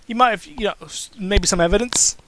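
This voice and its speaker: A man's voice: high-pitched, fairly quick, a bit bright, a little hiss or hum. A man giving a dramatic reading of fan fiction, breaking off for mocking, exasperated asides. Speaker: reader commenting on fan fiction.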